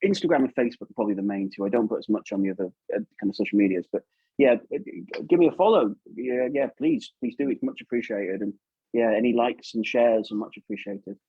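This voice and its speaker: A man's voice: mid-range voice, expressive, fast talker, slightly rough, no room sound, muffled recording. A man with an English accent chatting casually as an interview guest, informal and often tagging questions onto his remarks. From England. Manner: informal, chatty, seeks agreement with tag questions. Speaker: guest puppeteer.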